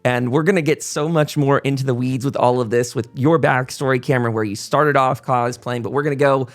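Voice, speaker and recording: Guy hosting a podcast, informal and chatty, average pitched voice. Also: fairly even intonation, a little fast. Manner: chatty, informal presenter talk. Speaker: podcast host. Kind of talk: casual podcast intro and interview.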